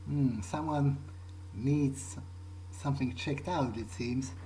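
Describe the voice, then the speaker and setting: A man thinking aloud in character during a game playthrough, musing and halting as if talking to himself. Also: mid-range voice, warm tone, a little hiss or hum, rough recording. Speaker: gameplay video player.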